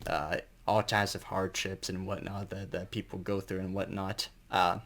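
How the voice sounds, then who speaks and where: A man's voice: sits low, flat delivery, normal speed, a bit bright. A man giving a casual, unscripted album review as an enthusiastic fan, rambling and colloquial, with some mild swearing.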